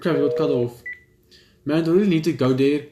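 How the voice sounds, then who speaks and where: A young man plays a visual novel and reads its text out loud. His delivery is informal and stumbles now and then. The room echoes and there is clear noise behind him. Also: a little high, a little fast. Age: young adult. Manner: informal, stumbles while reading. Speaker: let's player. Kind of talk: reading visual novel text aloud with casual reactions. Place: indoor room at home. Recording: roomy echo, clear background noise.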